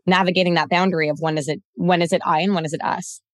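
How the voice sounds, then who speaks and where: A woman hosting an interview, talking quickly and summarizing her guest's points. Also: on the low side, steady tone, no echo, studio quality. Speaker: podcast host. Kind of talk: conversational interview.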